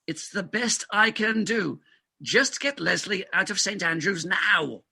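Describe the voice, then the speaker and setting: A man's voice: high voice, unhurried, creaky, sharp tone, husky, heavily acted. A man playing an exasperated, self-important hospital doctor, indignant and emphatic, in a comic stage farce reading. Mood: panicked, refusing. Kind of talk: comic farce readers theatre.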